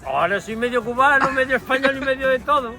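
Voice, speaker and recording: Galician man, high bright voice, joking construction worker up outside. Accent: Galician Spanish. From Galicia, Spain. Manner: jokey, quick interjections. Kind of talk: casual chat. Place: outdoors on scaffolding high above a city street.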